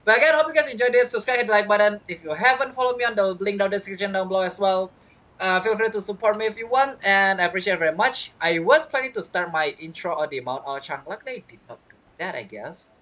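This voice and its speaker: A woman's voice: mid-range voice, lively intonation, fast, a bit bright. A woman giving casual, chatty gameplay commentary as a streamer, with teasing asides. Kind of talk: casual game playthrough commentary.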